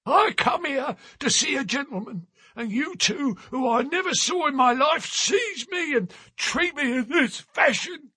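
A man voicing a petulant, stubborn old sailor with a gruff, quavering voice. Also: very high voice, expressive, a little slow, hardly any pauses, pushed and bright, no room sound, pitched above the performer's own voice, heavily acted. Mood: stubborn, querulous.

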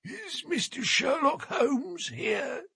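A man voicing a petulant, stubborn old sailor with a gruff, quavering voice. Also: very high voice, big pitch swings, slow, pushed and bright, no room sound, studio quality, voice pushed up, heavily acted. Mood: stubborn, querulous.

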